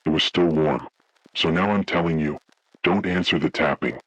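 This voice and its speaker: A man voicing a haunted, grave survivor, narrating a scripted first-person horror story in slow, deliberate, ominous phrases with a gravelly, husky voice. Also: a bass voice, fairly bright tone, no room sound, exaggerated voice, poor audio. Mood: grave dread. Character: haunted man recounting childhood motel horror, grave, dread-filled.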